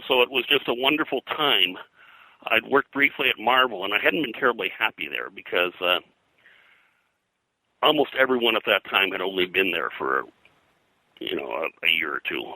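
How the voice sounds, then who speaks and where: Very deep, bright-edged older man. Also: dry recording, rough recording. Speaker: interview guest, veteran comics colorist. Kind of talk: reminiscing in an interview.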